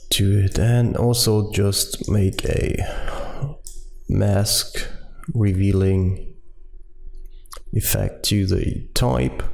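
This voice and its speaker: A man with a German accent, a German native speaker, explaining a software tutorial step by step with many ums and uhs. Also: on the low side, creaky. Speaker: tutorial host.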